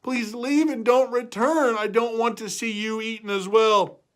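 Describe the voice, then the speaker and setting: A man voicing a kind, sorrowful giant's wife in a storybook reading, speaking gently and pleadingly. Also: very high-pitched, fairly even intonation, measured pace, pitched above the performer's own voice.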